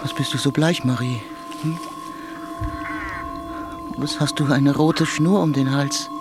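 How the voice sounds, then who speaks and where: An actor playing a haunted, frantic murderer in a German radio drama, halting and repeating himself, then breaking into defiance. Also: male voice, fairly high pitch, fairly even intonation. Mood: haunted, guilty. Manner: halting muttering broken by outbursts, repeats words. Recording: music behind the voice.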